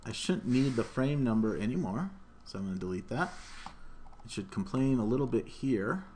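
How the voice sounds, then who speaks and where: A man's voice: mid-range voice, expressive, normal speed, a little mellow. A man in his thirties giving an informal programming tutorial, narrating each step aloud as he works. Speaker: programming instructor. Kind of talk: live coding tutorial, thinking aloud. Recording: noisy room mic with keyboard typing. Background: keyboard typing, room noise.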